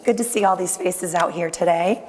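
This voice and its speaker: A woman speaking on a conference panel as a state environmental official, friendly and conversational, her voice a little hoarse from losing it. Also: on the low side, normal speed, darkish tone, slight background noise. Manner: friendly, conversational presenter with rhetorical questions. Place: conference hall. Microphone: handheld microphone. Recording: long hall reverb.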